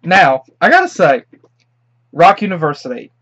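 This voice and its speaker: A young man with a stuffy head cold chats casually as a reaction host. His voice sits a bit high and moves a lot, rambling and excitable. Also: moderate pace, highs cut off.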